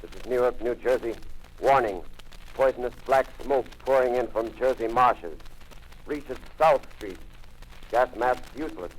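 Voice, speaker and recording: A man's voice: mid-range voice, lightly acted, poor audio. An actor playing a male announcer reading emergency warnings in a terse, clipped bulletin style, 1930s radio drama.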